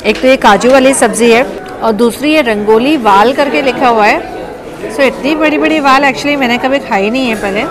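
A woman's voice: sits high, normal speed. A woman in her thirties giving a casual food review vlog on a lapel mic in a restaurant dining hall, chatting and mixing in English phrases. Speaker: food vlogger. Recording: restaurant chatter and music behind.

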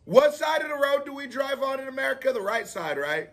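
A man in his thirties hosting a music reaction, talking casually in an animated way, exclaiming and thinking out loud in a small room. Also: very high-pitched, a little fast. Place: small indoor room with posters on the wall.

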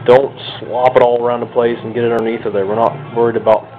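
A man's voice: mid-range voice, level tone, quick pace, faint music behind. An American man giving a casual, unscripted hands-on walkthrough in a folksy, self-deprecating manner. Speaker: hobbyist radio restorer presenting own video. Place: home kitchen or dining room, indoors. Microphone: handheld camera's built-in microphone. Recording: tinny band-limited phone-like camera sound.

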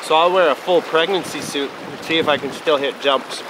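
A young man rambling to the camera from a small boat on a winter lake, in an offhand, deadpan, joking way. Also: fairly high pitch, brisk. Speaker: snowboarder. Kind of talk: casual rambling vlog to camera. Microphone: wide-angle camera mounted on the boat. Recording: distant mic with loud wind and boat noise.

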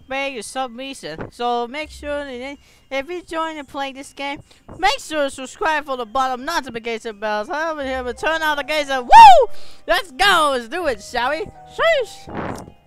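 A gaming streamer, giving exclamatory, halting English commentary and reading game text aloud. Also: sits high, expressive, rough recording. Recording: clear background noise and clicks.

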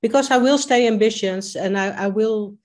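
A woman's voice: medium pitch, moderate pace, darkish tone. A woman in her fifties with a Dutch accent, speaking English as a second language on a video call, hesitant with many fillers and restarts. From Netherlands. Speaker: coaching client. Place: home kitchen.

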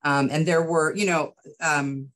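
A middle-aged woman answering interview questions as a guest on a video call, thoughtful and measured, with pauses. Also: deep voice, fairly even intonation, fairly bright tone. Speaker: guest writer and producer. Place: indoor room with bookshelves, video call.

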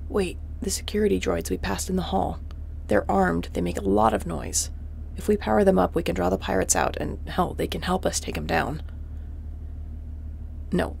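A woman acts out a wanted female starship mercenary in an audio roleplay, with a very creaky, gravel-edged voice. She is terse, wry and commanding, yet protective. A faint ship noise sits behind her. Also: fairly low pitch, a little mellow. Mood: hushed, tactical. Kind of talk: intimate sci-fi audio roleplay acting.

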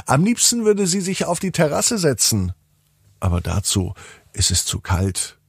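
A man narrating a bedtime story for children in an expressive, playful way, drawing out words and pausing for effect. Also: on the high side, vocal fry, slightly rough, dry recording, studio quality. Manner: deliberate, playful, with drawn-out words and dramatic pauses.

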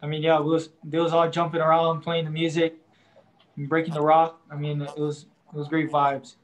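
A young man, a college quarterback, answering post-game press questions in a halting way, leaning on "I mean" and crediting his teammates. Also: fairly high pitch, monotone. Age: young adult. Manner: halting, starts nearly every phrase with "I mean".

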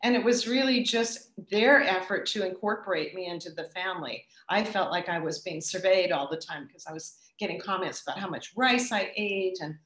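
A middle-aged woman answering audience questions after her talk, thoughtful and pausing as she restarts her sentences. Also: on the low side, a bit bright, echoey room, slight background noise, poor audio. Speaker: guest speaker.

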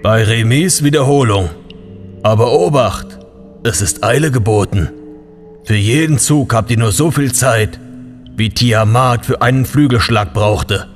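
A man's voice: medium pitch, measured pace, faint music behind, natural voice. A man playing an ancient, grandiose tomb guardian in an audio drama, with pompous, archaic and solemn diction. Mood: formal challenge.

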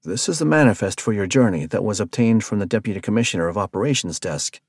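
A man's voice: fairly low pitch, lively intonation, fast talker, slightly rough, no echo, clean studio sound. A man voicing a stiff, rule-bound male supply clerk in a story, speaking formally and curtly. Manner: formal and curt.

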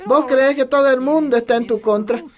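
A woman's voice: sits high, a little fast, a little mellow, natural voice. A woman playing a feisty, scolding elderly grandmother in a radio soap, with a Bolivian accent.

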